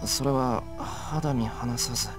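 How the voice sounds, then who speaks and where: A man playing a subdued, stubborn young male hero in an anime visual novel, speaking in a low-key, halting way. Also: music in the background, natural voice. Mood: dazed, solemn.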